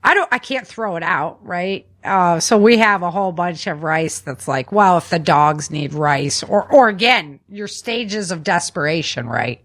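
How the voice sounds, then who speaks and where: A woman's voice: fairly low pitch, animated, measured pace, few pauses, a bit bright. A woman co-hosting a podcast, chatting informally as she explains practical tips. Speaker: podcast co-host.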